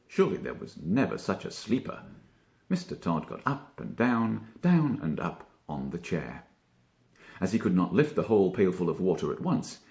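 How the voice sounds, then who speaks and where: Deep, animated man reading a storybook. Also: vocal fry. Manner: expressive, deliberate, drawing out key words. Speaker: storybook reader, stage actor. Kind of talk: theatrical children's story narration.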